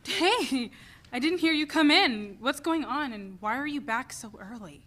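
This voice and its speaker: A woman's voice: high-pitched, lively intonation, unhurried, a bit bright, exaggerated voice. A woman playing a stressed, driven mother and restaurant owner in a dramatic play reading. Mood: concerned, soothing.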